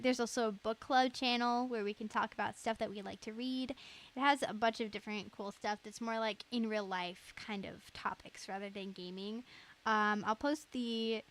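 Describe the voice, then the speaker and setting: High-voiced young woman streamer, chatting casually. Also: a bit bright. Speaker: live streamer.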